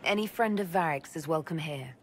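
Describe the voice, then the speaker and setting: Woman acting as resolute heroine leader, lowish voice, measured, direct, fantasy game dialogue, some background noise. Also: natural voice. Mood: composed, probing.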